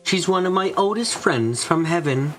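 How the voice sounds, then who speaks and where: A man voicing a calm, wise and hospitable witch woman in a visual novel fan dub, with a gentle, unhurried, reassuring manner. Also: fairly high pitch, soft background music, voice pushed up. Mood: calm, welcoming.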